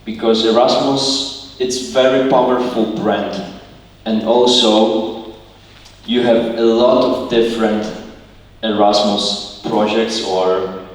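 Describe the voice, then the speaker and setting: A young man from Ukraine gives a student presentation in English, earnest and plain as he works through his slides. His voice sits on the low side and he is picked up from a distance. The sound rings with long reverb from a large hall, with a faint hiss underneath. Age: young adult. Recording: echoey hall, mic far off, faint noise.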